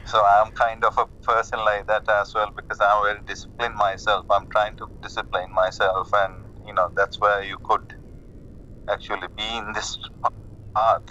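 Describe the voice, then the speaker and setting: A man in his forties with a Sri Lankan accent, talking casually and haltingly over a muffled, rumbling phone call. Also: little pitch movement, bright, forceful tone, a little hiss or hum. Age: 40s. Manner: hesitant, halting, repeats words. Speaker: caller, lay Buddhist practitioner. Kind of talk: casual conversation about personal spiritual path.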